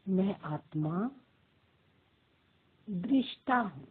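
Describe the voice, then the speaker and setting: Very slow, husky woman guiding meditation. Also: medium pitch, lively intonation. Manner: slow, deliberate phrases with long pauses. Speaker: meditation guide. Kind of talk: guided meditation. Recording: muffled narrow phone-like audio.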